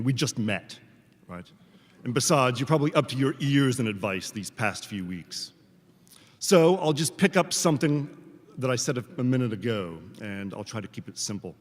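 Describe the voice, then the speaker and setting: A man delivers a formal commencement address as a professor, speaking in measured, deliberate phrases with rhetorical pauses. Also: mid-range voice. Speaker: professor giving a commencement speech. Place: large hall at a graduation ceremony. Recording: reverberant large hall, amplified speech.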